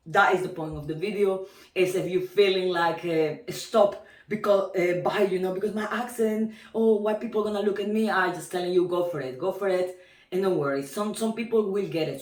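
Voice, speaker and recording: A woman's voice: on the low side, moderate pace, reverberant, far from the mic. A woman teacher from Peru with a strong Peruvian Spanish accent, talking casually to camera with many fillers, restarts and frequent laughs.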